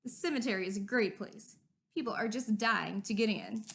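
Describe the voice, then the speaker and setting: A woman reading a storybook aloud, voicing a steady, cheerful young boy who talks in a calm, reassuring way. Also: mid-range voice, moderate pace, vocal fry.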